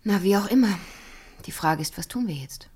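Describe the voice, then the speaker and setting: A woman's voice: mid-range voice, animated, normal speed, a little mellow, husky. An actress playing a self-possessed, guarded businesswoman in a radio crime play, articulate and matter-of-fact. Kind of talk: 1970s radio play dialogue.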